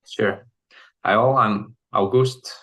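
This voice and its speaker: Bright, deep-voiced young man from Iceland. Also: fairly even intonation, slow pace. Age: 20s. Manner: brief, with hesitant fillers. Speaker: team member introducing himself. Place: room with window. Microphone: video call.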